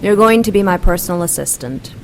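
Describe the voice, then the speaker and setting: An actress playing a commanding supernatural villainess in an audio drama, coolly menacing, languid and condescending. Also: female voice, lowish voice, animated, fairly quick, gravelly, a little hiss or hum, rough recording.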